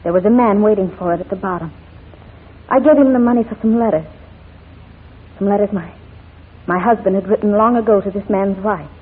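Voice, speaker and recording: Woman acting genteel titled lady witness, husky, hesitant, guarded, old radio drama, narrow tinny sound. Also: medium pitch, fairly quick, pauses often, lightly acted. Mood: anguished, reluctant. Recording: tinny, muffled 1940s radio broadcast.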